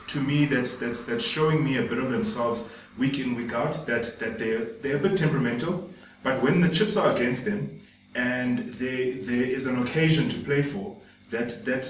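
A man's voice: mid-range voice, heavy reverb. A man gives his view on a casual sports panel, often repeating words as he gathers his thoughts, earnest throughout. His voice sits mid-range and the room rings around him. He is picked up from a distance with a faint hiss. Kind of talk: casual studio sports chat. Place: small studio room, indoors.

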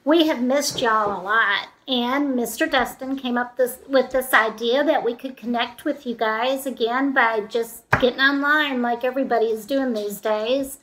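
Female, fifties, high-pitched, informal children's presenter, light background noise. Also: moderate pace, hardly any pauses, fairly bright tone, low-quality recording. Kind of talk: casual greeting to children. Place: indoors in a room at home, seated at a table. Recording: faint background noise with clicks.

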